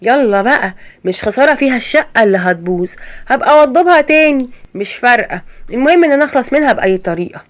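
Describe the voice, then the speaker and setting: A woman's voice: on the high side, expressive, very fast, natural voice. Ruqayya, a woman playing a scheming Egyptian mother-in-law. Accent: Egyptian Arabic. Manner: sweet-talking, then pleading. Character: scheming middle-aged mother-in-law, ruthless.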